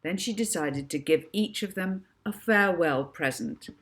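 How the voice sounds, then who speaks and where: A woman author reading her own children's book aloud as expressive narration. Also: lowish voice, moderate pace.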